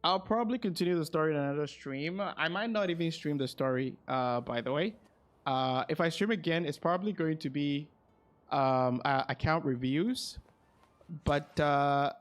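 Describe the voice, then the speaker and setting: A man chatting casually on a game livestream, thinking aloud and repeating his phrases as he goes. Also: a little high. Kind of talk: casual livestream chat while gaming.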